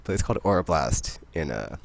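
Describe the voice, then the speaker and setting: A man hosting a podcast, chatting about games in a rambling, excitable way. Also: a bass voice, fairly quick, creaky, background noise. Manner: rambling, excitable, laughs often. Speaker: podcast host. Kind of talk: casual gaming chat.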